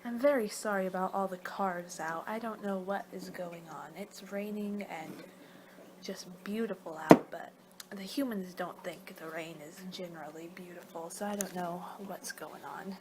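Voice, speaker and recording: A woman's voice: on the low side, fairly even intonation, normal speed. Rambling woman doing whispery ASMR roleplay. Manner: rambling, playful, often losing her train of thought. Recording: clear background noise.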